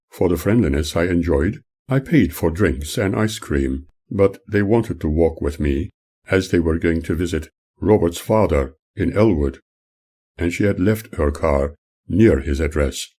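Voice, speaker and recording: An older man, the author, reading his own memoir aloud as audiobook narration in a measured, formal manner. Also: low voice, mellow, husky.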